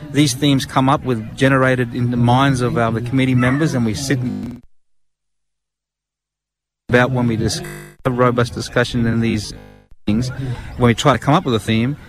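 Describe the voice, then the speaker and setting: An Australian man answering interview questions earnestly, halting with many fillers and restarts, recorded outdoors at a public event. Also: mid-range voice, poor audio. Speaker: guest, national committee member. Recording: outdoor event with crowd murmur.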